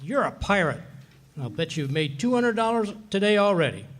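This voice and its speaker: A man's voice: high-pitched, very animated, moderate pace, fairly bright tone. A man playing an indignant, blustering cheapskate husband in 1940s-style radio comedy, sarcastic and grumbling. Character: indignant, blustering cheapskate husband haggling over a Christmas tree.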